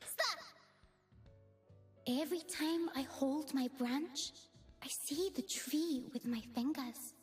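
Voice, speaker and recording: A whimsical little female fawn spirit from a fantasy game, speaking extremely high and sweetly. She is timid and dreamy, taking her words slowly and gently over soft music. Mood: whimsical, gentle. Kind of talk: video game character voicelines.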